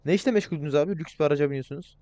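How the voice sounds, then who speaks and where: A man's voice: on the high side, racing, dark tone. A man playing an online roleplay game, bantering crudely with heavy swearing and flips into mock politeness while provoking other players. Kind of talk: casual gaming banter, trolling other players.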